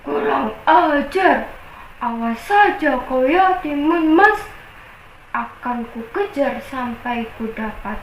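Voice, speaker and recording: A woman voicing a greedy, furious male giant who hums and growls before shouting threats. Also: high-pitched, lively intonation, slow, reverberant, a little hiss or hum, heavily acted, poor audio.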